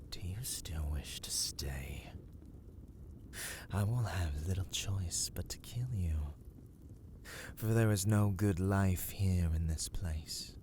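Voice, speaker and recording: Guy doing a gloomy cursed vampire for asmr rp, real low voice, drops into whispers, dramatic, bit of background noise. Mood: ominous, resigned. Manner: brooding, theatrical, often dropping into breathy whisper. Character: brooding, world-weary male vampire, cursed castle master. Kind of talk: ASMR roleplay acting, slow dark monologue.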